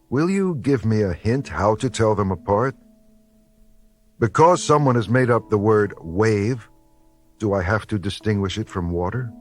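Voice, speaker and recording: Slow, low-voiced man reading poetry. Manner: measured, deliberate phrasing with pauses. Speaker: poetry reader. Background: soft background music.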